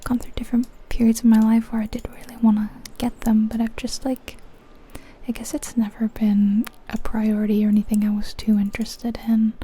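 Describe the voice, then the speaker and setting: Whispering woman, very soft and mellow. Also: fairly high pitch, completely flat delivery, brisk, husky. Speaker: ASMR channel host. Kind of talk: whispered ASMR gaming commentary, casual and chatty.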